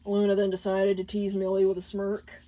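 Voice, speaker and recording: A woman reads a story aloud as its narrator. Her voice sits at a middle pitch, and she delivers written fan fiction prose. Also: monotone, normal speed, warm tone. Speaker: story reader.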